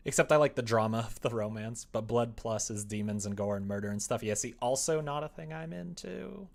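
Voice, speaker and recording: A man's voice: medium pitch, brisk, gravelly. A young man chatting casually with stream viewers while he works at his computer, sometimes muttering to himself. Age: young adult. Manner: muttering to himself while typing, then livelier replies. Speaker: livestreamer writing a visual novel. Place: indoor room at a computer desk.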